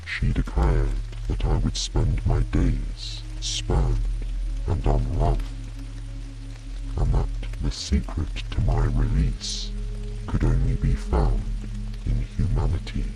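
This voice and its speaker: A very deep-voiced, gravelly lord of a lonely chateau, read by a male storyteller confesses slowly. He is woeful, halting and full of regret, a soft storybook figure over rain and music. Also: flat delivery, heavily acted, low-quality recording. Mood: regretful, reflective.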